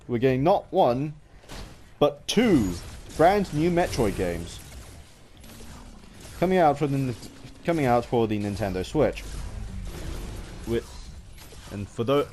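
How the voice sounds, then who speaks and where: A man's voice: on the low side, mellow. A man giving rambling, casual gaming commentary to viewers, often stumbling and restarting his phrases, with an earnest fan's enthusiasm. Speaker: gaming vlogger.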